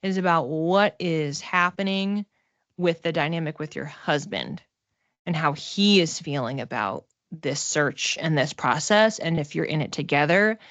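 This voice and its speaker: A woman giving a casual one-to-one card reading as a psychic, talking conversationally and haltingly, circling back over phrases as she thinks aloud. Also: deep voice, fairly bright tone, no room sound. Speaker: psychic reader.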